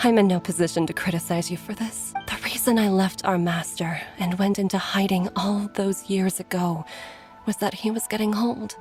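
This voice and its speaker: An actress playing a weary mother and doctor in a video game, speaking earnestly and softly, with sighs. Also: mid-range voice, close to an everyday voice. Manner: earnest, soft, sighing. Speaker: game character.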